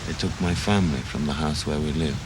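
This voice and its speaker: An actor playing a weary Ukrainian soldier and former prisoner of war, speaking with a Ukrainian accent in a slow, grave way. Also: male voice, a bass voice. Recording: hissy background noise.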